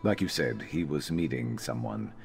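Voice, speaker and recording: A sardonic lizard-man tracker from a fantasy game, speaking in an extremely low voice. He is gruff, dry and disdainful in his deadpan delivery. Faint music plays behind him. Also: normal speed, exaggerated voice. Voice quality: very deep voice. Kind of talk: video game character dialogue.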